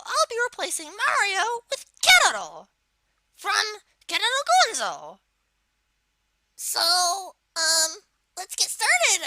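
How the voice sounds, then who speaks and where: A performer voicing a small turtle-like video game villain kid, giving a casual step-by-step software tutorial in a halting, hesitant way. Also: extremely high pitch, noisy background, full character voice. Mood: upbeat, instructive. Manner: halting, hesitant, trailing off in asides. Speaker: tutorial presenter.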